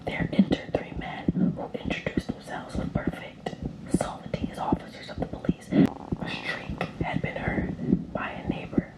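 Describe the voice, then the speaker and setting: A woman's voice: echoey room, natural voice, poor audio. Woman whispering as a dramatic vampire storyteller. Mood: sly, confident. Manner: breathy whisper, dramatic, halting repetitions. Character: vampire storyteller confessing a murder, nervous and frantic.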